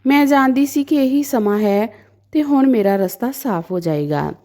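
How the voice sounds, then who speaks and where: A woman's voice: fairly high pitch, expressive, moderate pace, mellow. A woman narrating a dramatic story in the first person as a storyteller.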